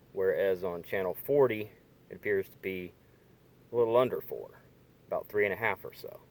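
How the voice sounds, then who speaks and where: Low-voiced man, halting casual demo. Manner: tentative, hedging, halting. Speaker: hobbyist demonstrating radio gear. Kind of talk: casual hands-on demonstration. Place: inside a parked car. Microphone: handheld camera or phone.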